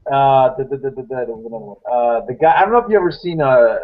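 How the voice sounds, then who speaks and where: A man's voice: medium pitch, animated, fast. A man in his thirties hosts a loose live-stream chat. He rambles, doubles back on his words and argues his view with conviction. His mid-range voice sounds dull and muffled, as if recorded on a lo-fi setup. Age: 30s. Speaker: host. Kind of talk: casual panel chat.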